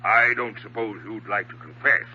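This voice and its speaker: An actor playing a huge, domineering old ship's captain in a 1950s radio drama, stern, formal and commanding. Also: male voice, lowish voice, normal speed, pushed and bright. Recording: dull, noisy 1950s radio recording, hum.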